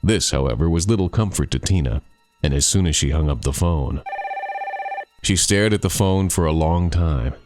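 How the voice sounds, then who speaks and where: Male storyteller, deep voice, dramatic scripted horror narration over a light music bed. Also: lively intonation, normal speed. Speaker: author and narrator. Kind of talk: scripted scary campfire storytelling.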